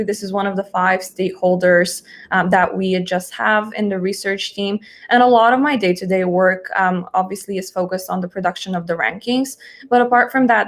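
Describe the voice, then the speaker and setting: Young woman, conversational guest speaker. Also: medium pitch. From Bulgaria. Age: 20s. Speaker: guest, junior research analyst. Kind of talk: conversational account of own work.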